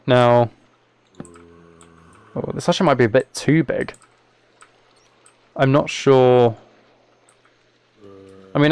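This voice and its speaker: A man's voice: mid-range voice, vocal fry, no echo. A man live streaming a video game, chatting casually and thinking aloud in an understated, rambling way. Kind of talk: casual gameplay livestream chat. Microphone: microphone set up in front of him.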